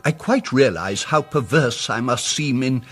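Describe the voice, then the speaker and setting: A man acting a timid, apologetic but persistent English gentleman amateur sleuth in a radio mystery drama, speaking politely with hesitant, stammering starts. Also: mid-range voice, expressive, a little slow, husky, soft background music. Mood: earnest, diffident.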